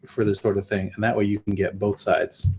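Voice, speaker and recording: Halting man chatting at a game table. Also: on the low side, flat delivery, creaky, a little mellow, a little hiss or hum. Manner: halting, repeats words while thinking. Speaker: player. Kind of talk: casual tabletop roleplay chat.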